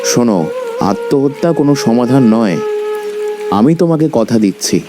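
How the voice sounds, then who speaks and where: Man playing a fatherly, animated tea-stall keeper. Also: mid-range voice, moderate pace, close to an everyday voice. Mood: soothing. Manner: concerned, soothing, expressive. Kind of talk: cartoon story dialogue. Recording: loud music behind the voice.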